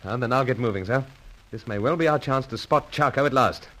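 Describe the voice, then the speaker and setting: An actor playing a gallant, composed secret agent with gentlemanly phrasing in a radio serial. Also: male voice, medium pitch, a little fast, a little hiss or hum, natural voice, poor audio. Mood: urgent, respectful. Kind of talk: radio serial acting and narration.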